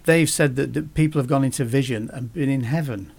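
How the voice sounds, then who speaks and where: A man speaking as a guest in a casual podcast conversation, halting often and restarting his sentences. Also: medium pitch, creaky, darkish tone, husky. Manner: halting, many restarts and fillers.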